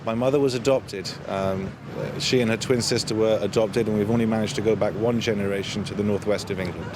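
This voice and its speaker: Low-voiced man on a noisy street. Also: steady tone, brisk. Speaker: street interviewee. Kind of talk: considered answers to interview questions. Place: outdoors in a city. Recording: noisy outdoor urban street, traffic rumble.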